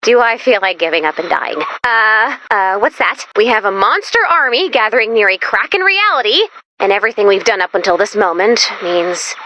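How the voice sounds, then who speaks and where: A woman voicing an excitable, anxious and quirky young female robot companion in a video game, theatrical and prone to deadpan gloom. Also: mid-range voice, normal speed, lo-fi sound. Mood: gloomy, defeatist. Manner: theatrical, swinging from peppy cheer to deadpan gloom. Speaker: game companion character giving mission dialogue. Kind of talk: animated video game voice acting.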